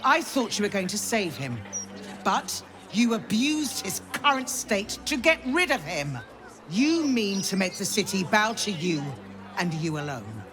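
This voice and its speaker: A woman playing a stern, loyal noblewoman counsellor in a fantasy video game, speaking in a solemn, formal, measured way. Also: medium pitch, very animated. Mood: bitter, accusing. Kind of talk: dramatic video game cutscene acting. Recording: music behind the voice.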